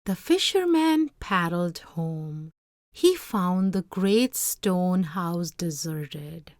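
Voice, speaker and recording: A woman narrating a storybook in a measured, dramatic read-aloud style. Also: fairly low pitch, expressive, no echo, studio quality. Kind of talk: expressive scripted storybook narration.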